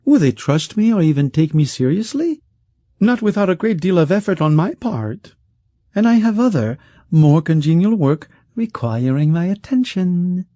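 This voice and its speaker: A man voicing a smooth, scheming rich male wizard who talks in a silky, condescending way. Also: sits high, very animated, normal speed, warm tone, no room sound, studio quality, voice pushed up. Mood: wheedling.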